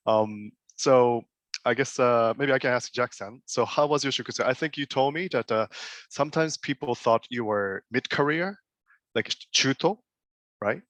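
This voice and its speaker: A man's voice: medium pitch, a bit bright, no echo. A man moderating an online panel in an informal, slightly tentative way, as on a video call. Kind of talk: casual interview hosting.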